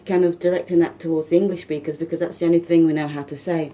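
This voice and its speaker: A woman's voice: fairly low pitch, little pitch movement, fast, warm tone, a little hiss or hum. A woman hosting a casual radio interview, affirming her guest with frequent murmurs of agreement. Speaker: radio host. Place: radio studio.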